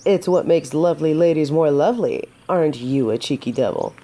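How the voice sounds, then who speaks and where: A woman's voice: low-pitched, expressive, brisk, mellow. A woman playing a gallant, teasing yet earnest female knight in a romantic fantasy audio drama, with formal, old-fashioned phrasing. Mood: playful, teasing.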